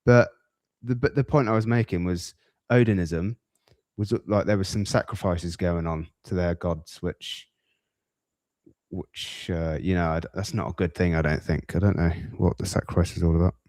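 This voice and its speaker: A man with a fairly low voice chats casually as a podcast host. He rambles and breaks off into asides, and the sound is dry and close. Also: fairly even intonation, moderate pace, darkish tone, dry recording. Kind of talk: casual reaction chat.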